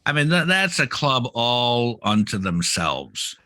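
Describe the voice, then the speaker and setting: A man with a bright, forward voice recorded close and dry. He co-hosts a podcast in a loose, joking style, often stopping and repeating words as he works through an explanation. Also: medium pitch, big pitch swings, takes it slowly, dry recording. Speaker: podcast co-host. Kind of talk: casual comedic banter.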